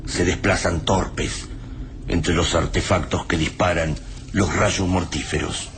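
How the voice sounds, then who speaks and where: A man's voice: low-pitched, fairly even intonation, slow pace, background noise. A man reading dramatic scripted narration for a radio drama. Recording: loud music behind the voice.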